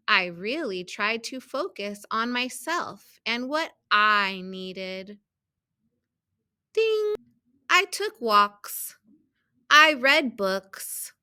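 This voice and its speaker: A woman as an earnest, bright-voiced storybook egg. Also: a little high, smooth, exaggerated voice. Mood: reflective. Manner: expressive, deliberate read-aloud delivery with emphatic exclamations. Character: earnest, helpful, overburdened egg telling its own story. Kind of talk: children's picture book storytelling.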